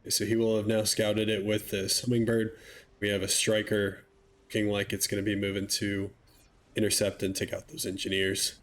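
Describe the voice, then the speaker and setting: A young man with a somewhat low voice calls a strategy match, sounding stuffed up and a little nasal. He talks in a relaxed, chatty way and drops wry asides. A faint hum runs under the recording. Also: normal speed. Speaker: game caster. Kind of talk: video game commentary.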